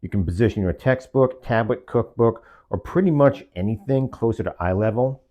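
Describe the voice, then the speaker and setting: A man's voice: lowish voice, normal speed, warm tone, highs cut off. A man giving a semi-scripted product review, explaining features step by step in short phrases.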